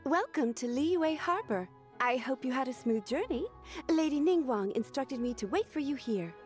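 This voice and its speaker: A woman playing a poised, courteous secretary in a video game, speaking formally and with measured politeness. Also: high-pitched, lively intonation, a little mellow, soft background music. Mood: polite, composed. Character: poised, courteous young female secretary to a noblewoman. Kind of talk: video game cutscene voice acting.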